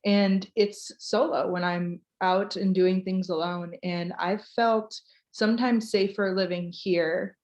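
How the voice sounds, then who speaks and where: A woman's voice: mid-range voice, fairly even intonation, slow, darkish tone. An American woman from Florida, hosting a live online Q&A over a video call in a chatty, informal way and reading out listener questions. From United States (Florida). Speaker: host, tour ambassador.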